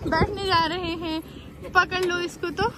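A woman chatting casually outdoors on a hillside, lively and coaxing as she talks to a small child, recorded on a handheld phone. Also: very high-pitched, unhurried, heavy background noise.

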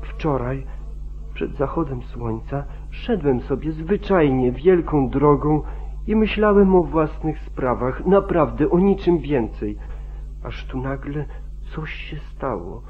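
Frightened, pleading young farmhand, phone-like sound. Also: male voice, fairly high pitch, expressive, takes it slowly. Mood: anxious. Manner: pleading, repetitive, protesting. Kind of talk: old radio drama acting. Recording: muffled, narrow-band 1940s radio recording.

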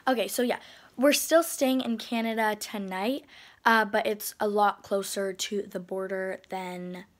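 A woman's voice: medium pitch, fairly even intonation, unhurried. A teenage girl chatting casually for her travel vlog, rambling with lots of "like" and "um", filmed on a phone at arm's length in a hotel room. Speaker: vlogger. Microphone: phone camera held at arm's length.

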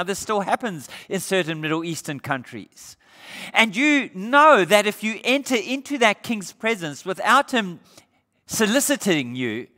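A middle-aged male pastor from Australia preaching a sermon on a church stage, asking the congregation rhetorical questions. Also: sits high. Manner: animated preaching with rhetorical questions, gesturing while standing. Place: church sanctuary stage, indoors. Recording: reverberant church hall.

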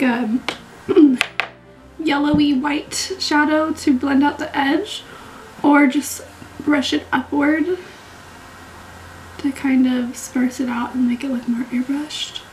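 Twenties woman, high, slightly raspy voice, chatty vlogger, drifts into whispers. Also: fairly even intonation, unhurried, long pauses, slight background noise, poor audio. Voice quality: raspy, throat not clear. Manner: chatty, gushing, drops to whispers while doing makeup. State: recovering from illness, clearing throat. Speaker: beauty vlogger. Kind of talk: casual vlog chat. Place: small bedroom, indoors. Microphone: camera mic, close framing.

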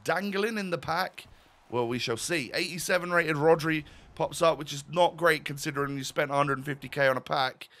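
A croaky man in his thirties talks through his game packs at a desk mic at home. His tone is bright and sharp, and he rambles wryly with plenty of restarts. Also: on the high side, a little fast. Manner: chatty, wry, with fillers and restarts. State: croaky, voice going. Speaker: gaming YouTuber. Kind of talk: casual pack-opening commentary. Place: home room, indoors.

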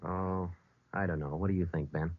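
A man with an American accent playing a terse, deadpan police sergeant in an old-time radio crime drama, both narrating and questioning. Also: sits low, normal speed, breathy edge, dry recording. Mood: businesslike. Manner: clipped, deadpan, matter-of-fact. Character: terse, businesslike male police sergeant narrating his case. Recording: muffled vintage radio recording with music.

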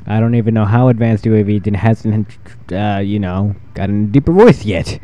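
Low, rambling fourteen-year-old gamer boy. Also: moderate pace, warm tone. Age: 14, teenage boy with newly deepened voice. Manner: rambling, self-deprecating, trails off. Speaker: gaming channel host. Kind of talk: casual gameplay commentary.

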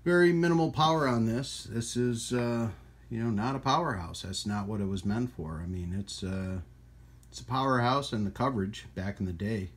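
A man hosting a hobby radio channel in a casual, rambling hands-on demonstration, with folksy trailing asides and a husky voice. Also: medium pitch, normal speed. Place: indoors at a radio workbench.

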